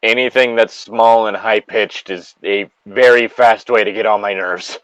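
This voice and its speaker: A man's voice: fairly low pitch, steady tone, a little slow, dry recording, low-quality recording. A man bantering casually and reading a story aloud with friends over voice chat. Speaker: co-reader on a group creepypasta reading show. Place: online voice chat.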